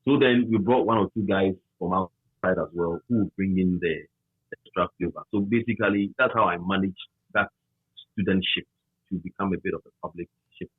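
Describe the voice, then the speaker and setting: A Ghanaian man reminiscing conversationally as an interviewee, with a husky voice and a habit of repeating phrases for emphasis, over a tinny line. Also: deep voice, normal speed, no room sound. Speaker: interviewee, former campus radio manager.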